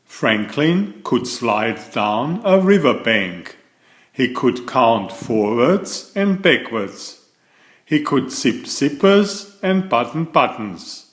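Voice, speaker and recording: Slow, lively male storyteller in echoey room. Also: medium pitch. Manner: expressive, deliberate, simple storybook delivery. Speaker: storyteller reading a picture book. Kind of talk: bedtime story read-aloud.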